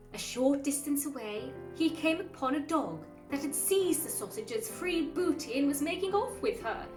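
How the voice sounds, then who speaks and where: A woman with a high voice narrates in a measured storybook style. She sounds set back from the microphone in an echoing room. Her delivery is deliberate, that of a host reading a scripted tale. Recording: roomy, distant mic with music underneath. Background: classical music.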